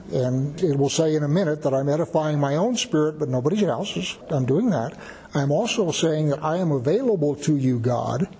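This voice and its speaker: A man's voice: on the high side, mellow, long reverb tail. A man giving a conversational verse-by-verse Bible teaching, explaining with everyday examples.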